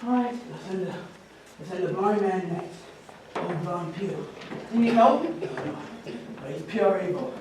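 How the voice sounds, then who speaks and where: An actor playing an old, drunken, brawling who talks in a gruff, threatening burr. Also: male voice, high voice, expressive, moderate pace, pauses often. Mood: weak, dying. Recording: echoey room, far mic, noisy.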